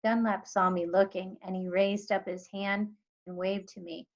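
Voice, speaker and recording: A woman teacher reading a children's storybook aloud in expressive narration. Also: medium pitch, normal speed, highs cut off.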